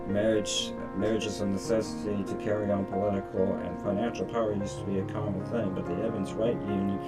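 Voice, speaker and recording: A man's voice: lowish voice, flat delivery, a little fast, recorded from a distance, over loud music. A man reading a visual novel's narration aloud on a stream, in a dramatic storytelling style.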